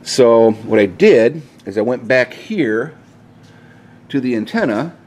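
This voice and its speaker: A man's voice: mid-range voice, expressive, slow, a little mellow. A man hosting a casual how-to vlog, explaining things step by step in an informal, mildly salty way, recorded on a handheld camera in a garage. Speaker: DIY video host. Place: inside a home garage, at a pickup truck. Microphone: handheld camera, held by the speaker.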